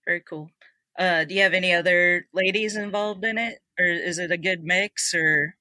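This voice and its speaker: Brief: woman podcast host, encouraging, bright voice, dry close sound. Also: fairly low pitch, moderate pace, no room sound. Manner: encouraging, short affirmations. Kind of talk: interview hosting.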